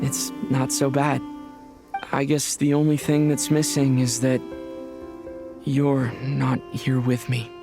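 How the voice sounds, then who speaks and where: An actor playing a gentle, wistful young male wandering hero in a game, sincere and reflective. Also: fairly high pitch. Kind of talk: dramatic game voice acting.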